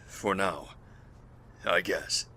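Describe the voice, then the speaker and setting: A man playing a calm, guarded health official in a zombie outbreak audio drama, speaking in a measured, formal way. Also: low-pitched, full character voice. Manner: measured, formal, matter-of-fact.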